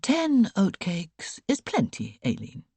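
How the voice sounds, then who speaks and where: A woman voicing a stern, no-nonsense aunt in an audiobook, crisp and commanding in manner. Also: mid-range voice, huge pitch swings, slow pace, a little mellow, dry recording, pitched above the performer's own voice, heavily acted. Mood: brisk. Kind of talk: audiobook character voice. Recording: dry close recording.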